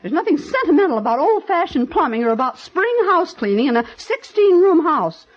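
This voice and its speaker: An actress playing a fussy, easily offended older aunt in a 1950s radio drama, fretful and emphatic. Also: female voice, high voice, sing-song, brisk. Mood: indignant. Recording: dull vintage 1950s radio recording.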